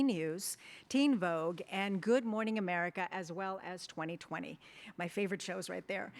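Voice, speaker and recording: A woman presenting at an awards breakfast, reading honoree introductions in an upbeat way with small asides. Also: mid-range voice. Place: event hall at a breakfast banquet.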